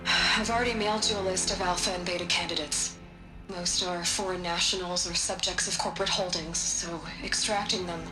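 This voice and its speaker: A woman playing a sharp, impatient scientist in a video game, speaking in a curt, matter-of-fact way. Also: fairly low pitch, fairly even intonation, fairly quick, close to an everyday voice. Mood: brisk. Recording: echoey room with music behind.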